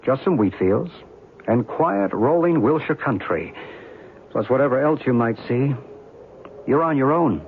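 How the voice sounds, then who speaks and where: An actor playing a calm, knowledgeable guide to ancient mysteries, speaking in a measured, explanatory way in a radio drama. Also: male voice, mid-range voice. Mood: quietly guiding. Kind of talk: old-time radio drama acting. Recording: tinny, hissy band-limited radio recording.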